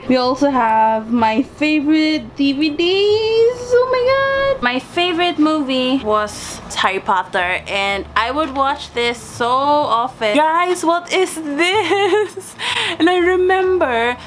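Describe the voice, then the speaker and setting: A young woman from Sweden chatting casually to camera in a playful, exclamatory, slangy way. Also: high-pitched. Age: young adult. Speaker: vlogger showing childhood belongings.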